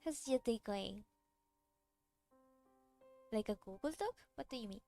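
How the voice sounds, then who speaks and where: A woman's voice: fairly high pitch, lively intonation, unhurried, vocal fry, dark tone. A woman whose first language is Cantonese, chatting casually on a livestream while she draws, rambling and answering chat questions. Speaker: streamer drawing a webcomic. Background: faint music.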